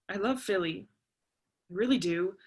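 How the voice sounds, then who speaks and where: A woman playing a grieving, defiant eighteen-year-old American daughter in a play reading, emotional and argumentative. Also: medium pitch. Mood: hurt, earnest.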